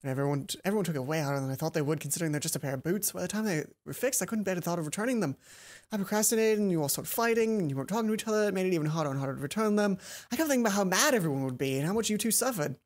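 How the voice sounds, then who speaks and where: A woman's voice: on the low side, animated, racing. Woman reading as a sheepish, guilt-ridden student. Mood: guilty.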